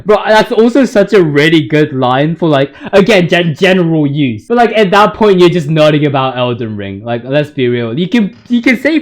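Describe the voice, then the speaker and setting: A man with a high, very lively voice talks through his opinions on a video game. His delivery is casual and full of slang, with exaggerated, joking outrage. He swears freely as he goes. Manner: ranting, hyperbolic, mock-outraged joking. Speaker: video game commentator ranking boss voice lines.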